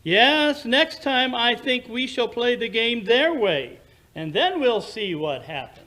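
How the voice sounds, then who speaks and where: High-pitched, slow-talking gentleman detective, acted by a man. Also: lively intonation, exaggerated voice. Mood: resolute. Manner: deliberate, lecturing, theatrical. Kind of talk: old-time radio drama acting.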